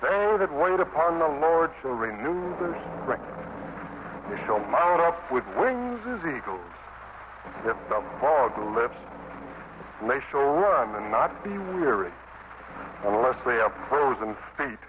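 A man's voice: mid-range voice, sing-song, takes it slowly. An actor playing a weary, sardonic American infantryman narrating the war in a 1950s radio drama. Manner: grim, sardonic. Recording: muffled, noisy vintage radio broadcast.

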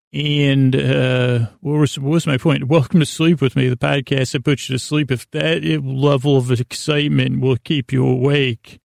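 A man with a creaky voice hosting a sleep podcast, rambling in a halting, meandering monologue with a self-deprecating, gently absurd manner. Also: mid-range voice, no room sound, studio quality. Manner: meandering, halting, circling back on himself. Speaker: podcast host.

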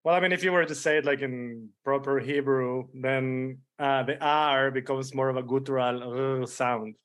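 A man's voice: medium pitch, steady tone, moderate pace, fairly bright tone. A man in his forties from Mexico and Israel with a Spanish accent, talking casually as a podcast guest. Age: 40s. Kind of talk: casual interview conversation.

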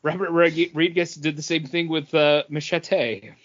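A man's voice: fairly high pitch, steady tone, normal speed, fairly bright tone, lo-fi sound. A man co-hosting a podcast, talking in a casual conversational way. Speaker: podcast co-host. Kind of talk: casual chat.

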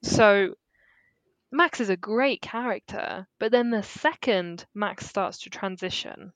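A woman's voice: medium pitch, dry recording, poor audio. A woman co-hosts a film podcast in a chatty, emphatic way, circling back and restarting her thoughts as she talks. Her voice sits mid-range and sounds dry and close to the mic. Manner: chatty, emphatic, quick to laugh. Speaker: podcast co-host. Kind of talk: casual film discussion.

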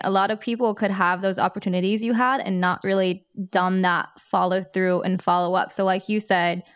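Casting note: a woman host and publicist, chatty and affirming, smooth voice, dry close sound. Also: mid-range voice, dry recording. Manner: chatty, affirming, laughs easily. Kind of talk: casual interview.

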